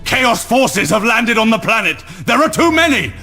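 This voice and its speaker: An actor playing a terrified planetary governor in a sci-fi game, desperate and panicked. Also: male voice, very high-pitched, big pitch swings, normal speed, sharp tone, exaggerated voice. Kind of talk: dramatic video game voice acting. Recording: music behind.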